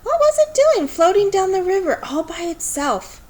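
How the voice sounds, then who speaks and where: A woman reading a children's story aloud in an expressive, measured storytelling manner. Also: very high voice. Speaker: storybook reader.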